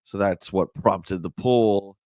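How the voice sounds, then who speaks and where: Casual livestream host, a man with a low, hoarse voice and a chatty manner, recorded dry. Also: takes it slowly, darkish tone, no room sound. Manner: chatty, answers viewer comments. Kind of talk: casual live chat reading a magazine.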